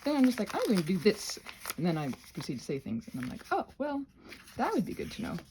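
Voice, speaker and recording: A woman's voice: medium pitch, wildly swooping pitch, fast talker, dark tone, slight background noise, low-quality recording. A woman talking through a craft demonstration in a rambling, theatrical, self-interrupting way, chatting to herself and to objects, recorded on a phone. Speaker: craft video creator demonstrating butterfly wing prep. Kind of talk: rambling casual craft tutorial with asides to self.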